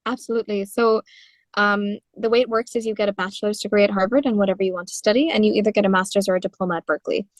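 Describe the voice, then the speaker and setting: A young woman from India talks through her story in an animated way, dropping in fillers and laughing easily. Her voice sits at a middle pitch and is recorded dry and close. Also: very fast, dry recording. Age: 20s. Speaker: guest singer-songwriter. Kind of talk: conversational interview.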